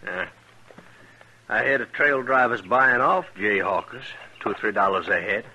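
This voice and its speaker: Sharp-voiced, hard-bitten cowhand. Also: male voice, fairly low pitch, animated, normal speed, heavily acted. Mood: blunt, grim. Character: rough, hard-bitten Texan trail cowhand. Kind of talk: 1950s American western radio drama acting. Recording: noisy vintage radio recording.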